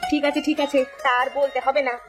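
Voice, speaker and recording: A woman voicing a brisk, caring village wife in a cartoon, chiding yet affectionate. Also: high-pitched, level tone, music in the background, natural voice. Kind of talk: cartoon story dialogue.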